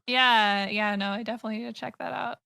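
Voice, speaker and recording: A woman's voice: a little high, steady tone, quick pace, vocal fry, bright, forceful tone, clear, resonant tone. An American woman in her thirties, a guest on a podcast, chatting enthusiastically and rambling with lots of fillers and restarts. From United States. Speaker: podcast guest, comic artist and streamer. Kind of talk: casual podcast chat.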